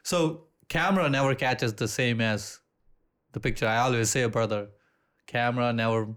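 A man with an Indian accent, co-hosting a casual podcast chat, relaxed, swearing freely and often restarting his sentences. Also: medium pitch, moderate pace, smooth. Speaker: podcast co-host.

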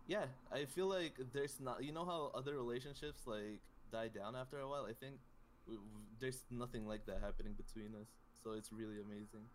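A man's voice: mid-range voice, fairly even intonation, a little fast, slight background noise, poor audio. A man in his early twenties, from the Philippines, guesting on a podcast and chatting casually with many fillers and restarts. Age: 20s. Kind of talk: casual chat.

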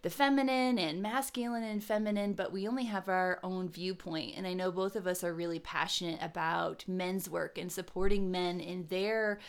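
A woman co-hosting a podcast in an earnest, conversational way, measured and pausing often. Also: lowish voice, fairly even intonation, smooth. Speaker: podcast co-host.

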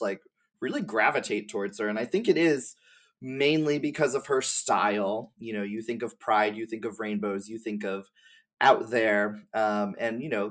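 A man talking casually as a podcast guest, in an effusive, slangy way. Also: lowish voice. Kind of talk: casual chat.

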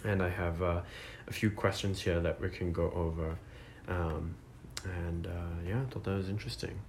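A man's voice: deep voice, fairly even intonation, moderate pace, darkish tone, slight background noise. A man in his twenties hosting a casual podcast chat, talking with many fillers and restarts. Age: 20s. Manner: hesitant, lots of fillers and restarts. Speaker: podcast host.

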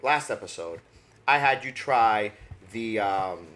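Young New guy, low voice, animated food-podcast co-host who laughs a lot. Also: slow. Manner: animated storyteller, laughs often, gets loud when excited. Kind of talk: casual chat.

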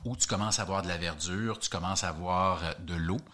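A man with a Québécois French accent, chatting as an interview guest and telling a story, animated, often repeating words as he searches for them. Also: low-pitched, brisk. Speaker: guest hiker.

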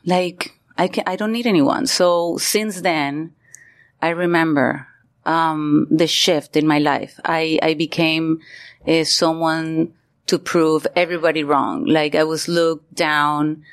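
A woman's voice: sits low, fairly even intonation, slow. A middle-aged woman from Venezuela with a Venezuelan Spanish accent, telling her story casually and candidly as an interview guest. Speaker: guest, artist.

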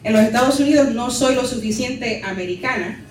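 A woman pastor from Puerto Rico, raised in New York, with a Nuyorican Spanish accent, giving an earnest and measured conference talk. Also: a little high. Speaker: pastor and lecturer. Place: conference hall. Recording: echoey hall, distant mic, faint music.

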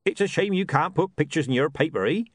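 A man's voice: on the high side, animated, fast, no room sound, studio quality, voice pushed up. A man voicing a small, red-faced, cheeky fellow who chuckles over a joke. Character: beaming cheeky little man with a carrot.

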